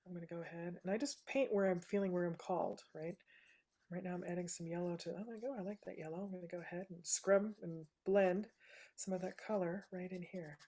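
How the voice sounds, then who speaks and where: Soft-spoken woman teaching painting. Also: fairly even intonation, fairly quick. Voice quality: soothing low voice. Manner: soft-spoken, gentle, says "right?" often. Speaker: painting instructor. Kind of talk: live painting demonstration.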